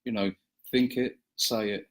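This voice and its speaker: A man as a guest on a casual interview, talking in a hesitant way with frequent pauses. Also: lowish voice, fairly even intonation. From England. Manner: hesitant, many fillers and restarts. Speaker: guest, small business owner.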